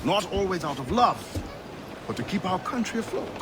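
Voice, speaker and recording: A thoughtful, dignified quartermaster in a game, firm of purpose. His voice is fairly high, amid very loud noise. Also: very animated, moderate pace. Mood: thoughtful, proud. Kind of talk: video game cutscene acting.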